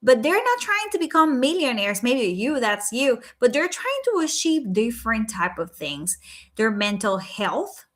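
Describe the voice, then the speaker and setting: A woman's voice: a little high, very animated, unhurried. A woman from Puerto Rico with a Puerto Rican Spanish accent, Spanish her first language, hosting a casual live stream from home at night, earnest and chatty with frequent "right?" and "um". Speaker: life coach hosting a livestream.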